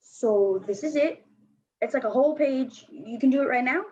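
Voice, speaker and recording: A woman's voice: high-pitched, normal speed, darkish tone, husky. A woman playing a blunt, slangy, defensive fourteen-year-old girl skateboarder in a staged play reading. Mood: hopeful. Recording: dull lo-fi recording.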